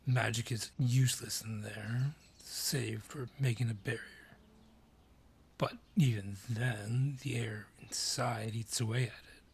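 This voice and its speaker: Man acting slow, husky ancient dryad. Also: mid-range voice, full character voice. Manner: deliberate, brusque, ominous storyteller. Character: ancient male dryad, gruff forest guardian named Al. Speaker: forest guardian and informant. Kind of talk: fantasy audio roleplay acting.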